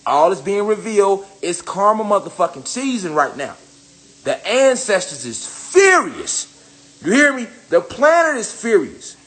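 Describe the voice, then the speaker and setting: Young male spiritual commentator, slightly high voice, loose profane preachy rant. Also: big pitch swings, measured pace, fairly bright tone, low-quality recording. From United States. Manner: profane, preachy, emphatic repetition. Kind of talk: casual rant to camera.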